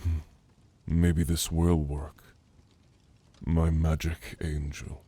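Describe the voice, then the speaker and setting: A man playing a gentle, scholarly orc mage in a soft, earnest, halting ASMR roleplay, speaking intimately to the listener. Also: a bass voice, little pitch movement, breathy edge, heavily acted. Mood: tender, admiring.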